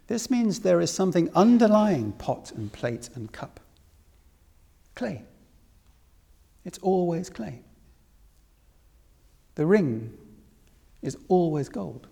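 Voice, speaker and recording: A man from Wales teaches spiritual philosophy to a room in a creaky, soft-edged voice. He builds his points deliberately, repeating phrases and posing questions. Long hall reverb surrounds his words. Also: high-pitched, big pitch swings. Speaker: spiritual teacher leading a talk. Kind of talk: spiritual discourse to a live audience.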